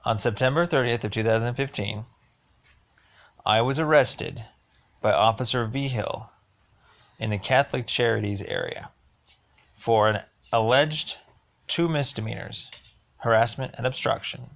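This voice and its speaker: A man leaving a rambling voicemail complaint, speaking in a halting, insistent and emphatic way. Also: medium pitch, gravelly, fairly bright tone.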